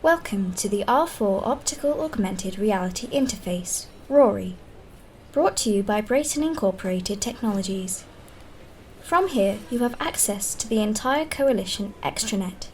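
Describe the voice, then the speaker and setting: A woman's voice: medium pitch, a little mellow, slight background noise, lightly acted. A woman voicing a polite, impassive computer interface in a science-fiction audio drama, with formal, emotionless delivery.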